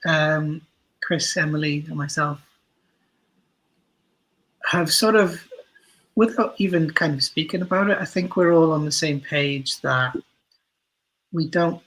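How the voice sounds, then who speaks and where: A man's voice: a little high, steady tone, slow pace, long pauses, a bit bright, rough recording. A man being interviewed as an audio drama writer, talking reflectively and a little hesitantly as he thinks aloud.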